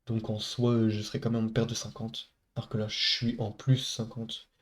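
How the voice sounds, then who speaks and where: A man's voice: on the low side, monotone, normal speed. A man with a head cold and a stuffy nose, casually talking viewers through his trade with lots of hesitations. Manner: casual, many hesitations, talks to viewers as buddies. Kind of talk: casual screen-recorded trade recap.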